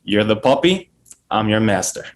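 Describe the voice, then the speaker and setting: A man's voice: on the low side, sing-song, moderate pace. A man playing a menacing, foul-mouthed gunman who taunts, in a screenplay table read. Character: menacing, foul-mouthed male thug with a gun.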